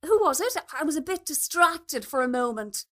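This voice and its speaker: A woman's voice: high-pitched, very animated, moderate pace, fairly bright tone, voice pushed up. A woman voicing a snobbish, socially ambitious mother with a gushing, affected manner in a story reading. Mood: gushing, flustered. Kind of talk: storybook dialogue.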